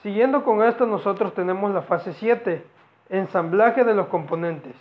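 A young man giving a halting student presentation, explaining project phases and repeating phrases as he goes. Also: high voice. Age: young adult. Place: indoors against a plain wall. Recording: dull lo-fi camera audio.

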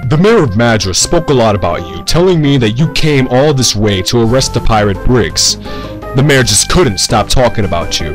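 A man's voice: medium pitch, lively intonation, moderate pace, over music, natural voice. A man voicing a pompous, theatrically appalled official in an amateur comedy dub of a video game. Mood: self-important. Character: pompous male official arresting pirates.

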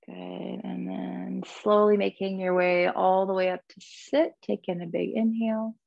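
A woman teaching a guided yoga class in a soothing, gentle, unhurried manner, cueing breath and movement. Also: medium pitch, level tone, creaky, no echo, muffled recording.

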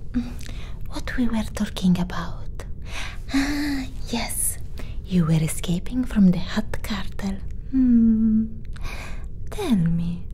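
Woman doing a sly alien smuggler lady, soft whisper, teasing, hums a lot, super slow and mellow. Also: a little high, slight background noise. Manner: teasing, drawn-out, with murmured hums and little laughs. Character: greedy, distrustful female alien smuggler, mercenary and teasing. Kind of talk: ASMR roleplay.

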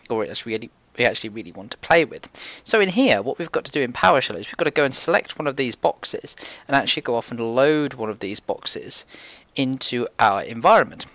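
A man's voice: mid-range voice, fairly bright tone, dry recording. A man giving an informal screen-share tutorial, explaining things in a rambling, conversational way. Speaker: programming tutorial presenter.

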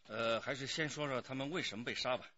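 An actor playing a businesslike male police detective in a radio drama, asking probing questions in a measured way. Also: medium pitch, pushed and bright, no room sound, muffled recording. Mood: probing, serious.

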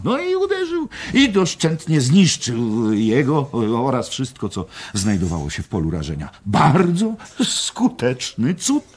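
An actor in a radio play as a long-winded, wry American war correspondent telling a rambling story. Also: male voice, medium pitch, a little mellow, husky, full character voice.

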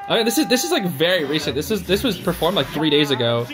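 Casting: young male reaction host, high and very lively delivery, excitable slang. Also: fast, poor audio. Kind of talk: casual live reaction commentary. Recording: music playing behind the voice. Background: stage show music.

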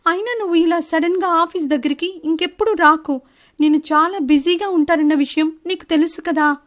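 A woman voicing an indignant, high-pitched wife bird. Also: moderate pace. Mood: evasive. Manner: defensive, protesting. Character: Chinnu, deceitful married female bird, indignant and evasive. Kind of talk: animated Telugu moral-story dialogue.

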